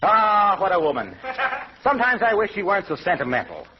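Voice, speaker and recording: A man's voice: sits high, wildly swooping pitch, brisk. An American actor playing a chummy, sugary-talking salesman pal in polished 1940s radio comedy style. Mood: confiding. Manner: polished, ingratiating. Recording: muffled, hissy vintage radio recording.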